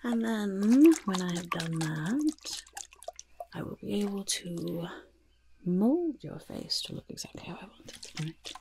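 A young woman voicing a fussy, sly, reassuring goblin in a soft-spoken ASMR roleplay, breathy and whispery, repeating soothing little phrases close to the microphone. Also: medium pitch, lively intonation, measured pace, a little hiss or hum. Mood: soothing, careful. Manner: speaks of self in third person, repetitive soothing patter.

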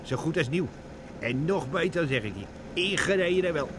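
An actor playing a cocky, slangy car thief at the wheel in an old radio play. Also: male voice, on the high side, expressive, normal speed, noisy background, natural voice. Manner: colloquial, slangy. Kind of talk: vintage Dutch radio play acting.

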